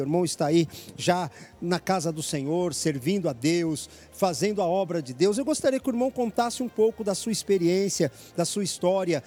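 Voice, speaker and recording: A fervent evangelical radio host with a high, mellow voice. He speaks with urgent, preaching energy while broadcasting from a church. His tone rises and presses the listener. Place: church headquarters.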